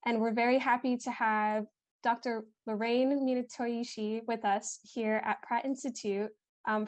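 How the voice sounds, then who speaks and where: A woman's voice: on the high side, no room sound. A young woman moderating a video call lecture and reading a speaker introduction, with frequent ums. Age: young adult.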